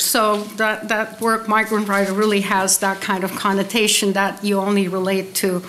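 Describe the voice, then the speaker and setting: High-voiced woman giving an academic lecture. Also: fairly bright tone, slight background noise. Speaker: keynote speaker, literary scholar. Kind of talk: academic conference lecture, mostly read from prepared text. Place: indoor conference hall, seated at a desk with laptop. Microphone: desk microphone on a stand.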